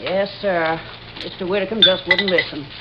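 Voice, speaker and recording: A woman's voice: on the low side, normal speed, muffled recording, natural voice. Folksy old backwoods woman, acted, noisy vintage radio. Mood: folksy concern. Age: older. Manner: folksy, motherly, fussing. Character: kindly, sprightly old backwoods lodge wife, bossy. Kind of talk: 1940s-50s radio drama acting. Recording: noisy, band-limited old radio recording.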